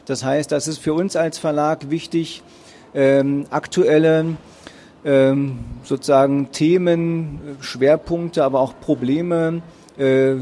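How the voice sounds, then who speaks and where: A man's voice: a little high, mellow, a little hiss or hum. A tired man with a Berlin accent presenting books at a fair, speaking hesitantly with many ums and uhs. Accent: Berlin German. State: tired after a long fair day. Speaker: publisher presenting at a book fair stage. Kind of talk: spontaneous presentation to an audience. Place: book fair hall.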